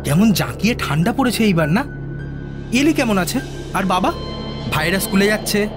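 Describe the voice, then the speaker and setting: A man playing a nervous, haunted young scientist in an audio drama, agitated and high-strung. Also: lively intonation, normal speed, over music. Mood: nervous rambling.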